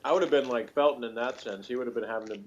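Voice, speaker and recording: A man in a casual, unscripted review chat, talking in a halting, jokey way as a co-host. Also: medium pitch. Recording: muffled lo-fi audio, noticeable noise.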